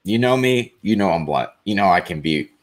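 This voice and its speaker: Blunt deep-voiced man, dry and monotone. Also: brisk. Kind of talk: casual live show discussion.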